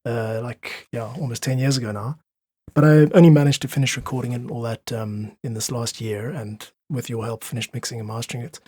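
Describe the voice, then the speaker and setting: A man speaking as a podcast guest, reflective and earnest, slightly husky, making his points with emphatic repetition. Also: mid-range voice, fairly even intonation, brisk, warm tone, no room sound, clean studio sound. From South Africa. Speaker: podcast guest, independent musician. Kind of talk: reflective casual conversation.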